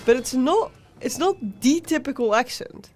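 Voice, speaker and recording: A woman's voice: sits high, expressive, normal speed, darkish tone. Chatty Dutch woman casting a game. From Netherlands. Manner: chatty, laughs easily. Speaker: game caster. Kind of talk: casual game commentary.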